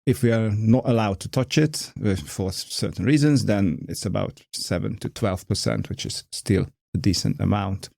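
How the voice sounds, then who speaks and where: Podcast guest: man, 40s, Hungarian accent, low gravelly voice, mellow tone, dry close sound, measured and explanatory. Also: no echo. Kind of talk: casual conversation.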